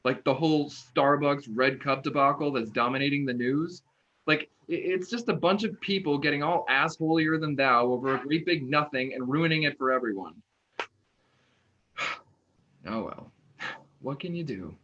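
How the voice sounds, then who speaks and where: An actor playing a tired, opinionated young man in an online play reading, talking in a halting, wry, naturalistic way. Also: on the high side, natural voice. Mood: irritated, weary. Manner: halting, animated, wry talk. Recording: dull, lo-fi online recording.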